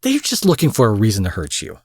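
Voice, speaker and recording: A man playing a protective, possessive supervillain in an intimate audio roleplay, husky and theatrical, moving between forceful speech and breathy murmurs. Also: fairly low pitch, huge pitch swings, quick pace, dry recording, clean studio sound, heavily acted. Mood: indignant, protective. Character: smooth protective male supervillain courting his captive rival.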